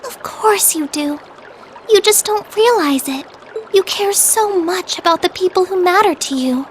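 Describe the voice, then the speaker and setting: An actress voicing a caring, polite teenage younger sister in a video game, with an earnest, proper way of speaking. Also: female voice, very high voice, animated, unhurried, slight background noise. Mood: gentle, insistent. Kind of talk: video game cutscene voice acting. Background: trickling water ambience.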